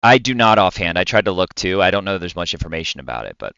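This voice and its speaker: A man's voice: deep voice, very animated, fast talker, creaky, sharp tone, clear, resonant tone, dry recording. A man chatting casually as a co-host on a podcast, recorded with a slightly dull, lo-fi sound. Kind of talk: casual chat.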